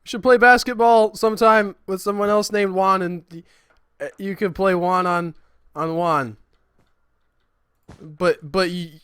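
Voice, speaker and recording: A man chatting casually while he streams a building game, rambling and self-deprecating, with a high, squeaky voice. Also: fairly bright tone, clean tone, dry recording. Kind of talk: casual livestream chat.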